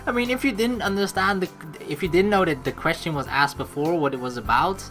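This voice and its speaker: A woman's voice: lowish voice, a little fast, a bit bright, faint music behind. A young woman doing casual, sweary let's play commentary and reading a visual novel's narration aloud. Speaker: let's player.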